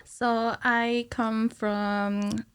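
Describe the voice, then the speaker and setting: A woman's voice: a little high, little pitch movement, drawn-out words, smooth. A young woman speaking casually and chattily as a podcast guest, close to a studio microphone. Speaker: podcast guest, cinematic animator. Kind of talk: casual conversational interview. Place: small curtained podcast studio. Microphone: studio microphone on stand, close.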